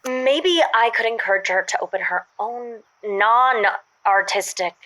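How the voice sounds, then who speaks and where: A woman's voice: a little high, a little slow, bright, forceful tone, low-quality recording. A woman calling in to an advice show, earnest and chipper, who runs a craft store. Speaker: caller, store owner. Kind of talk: improvised comedy call-in.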